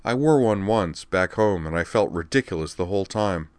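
A man's voice: low-pitched, lively intonation, moderate pace, dry recording. A man voicing an eager, earnest young woman student in an audiobook, talking in a chatty, slightly sheepish way. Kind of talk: audiobook character voice.

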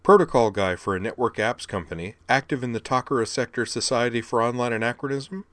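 A man voicing an exasperated, sarcastic young woman, his voice lifted in pitch. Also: normal speed, fairly bright tone, dry recording, natural voice. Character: exasperated young woman, sharp-tongued tech worker.

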